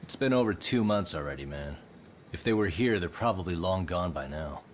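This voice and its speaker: A man's voice: low-pitched, expressive, brisk, slight background noise. An actor playing a confident, wisecracking male detective in a video game cutscene. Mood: wry. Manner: wry, sardonic. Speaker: detective protagonist. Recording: game audio under loud music.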